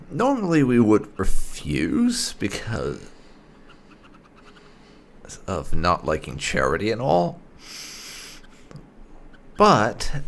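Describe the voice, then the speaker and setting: A man's voice: low voice, gravelly, a bit bright, a little hiss or hum. A man giving casual, rambling let's play commentary as a gaming YouTuber.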